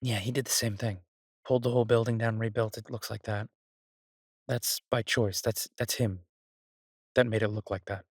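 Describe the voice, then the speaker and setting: A man playing an earnest, easygoing chef in a roleplay audio drama, talking haltingly and reassuringly to a partner. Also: fairly low pitch, fairly even intonation, no echo, clean studio sound. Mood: casual, explaining. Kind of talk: roleplay audio drama, conversational monologue to listener. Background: ambient sound effects.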